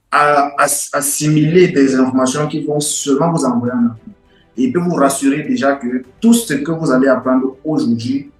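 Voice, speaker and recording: A man, an English speaker, giving an informal lecture in an emphatic, repetitive way with rhetorical questions. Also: medium pitch. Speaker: online course instructor. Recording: roomy echo with music behind.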